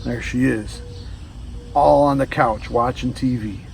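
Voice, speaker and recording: A man's voice: mid-range voice, steady tone, takes it slowly, gravelly, darkish tone, distant mic, slight background noise, rough recording. A man reading a children's storybook aloud, adding casual asides to young listeners. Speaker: storybook reader. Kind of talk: children's read-aloud narration.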